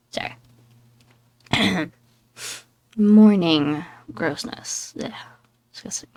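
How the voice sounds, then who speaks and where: A woman's voice: lowish voice, drawn-out words, pauses often, no room sound. A young woman hosting a casual gameplay commentary with a scratchy, just-woken-up voice. She rambles, apologizes and pokes fun at herself. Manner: chatty, rambling, apologetic and self-deprecating. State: scratchy voice after waking up. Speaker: gaming channel host.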